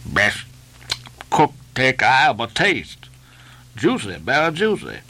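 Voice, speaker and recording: A man's voice: fairly high pitch, expressive, measured pace, pushed and bright, voice pushed up. A man voicing a sullen ninety-year-old ship's cook in a novel, muttering and growling in grudging dialect. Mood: sullen, solemn.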